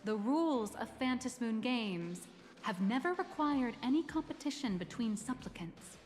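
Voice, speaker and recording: A woman plays a corporate guide from a video game, composed, formal and guarded. Her voice sits a little high. The game audio carries a long reverb tail. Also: animated, moderate pace, a little mellow, slight background noise, close to an everyday voice.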